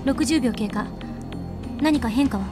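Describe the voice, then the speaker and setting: A prickly young female genius from a visual novel, played by an actress, with a high voice and rapid delivery. She is curt, scolding and assertive, over background music. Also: close to an everyday voice. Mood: serious, businesslike. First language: Japanese.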